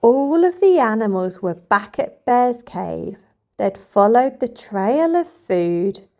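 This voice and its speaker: A woman reading a picture book aloud to toddlers in an expressive, sing-song storytelling manner. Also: sits high. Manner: expressive, sing-song, addressing young children.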